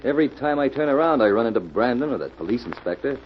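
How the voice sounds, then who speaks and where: An actor playing a suspicious, irritable doctor in an old radio mystery, gruff and impatient. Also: male voice, mid-range voice, lively intonation, quick pace, natural voice. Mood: irritable, wary. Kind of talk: 1940s radio mystery acting. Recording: muffled, noisy old-time radio recording.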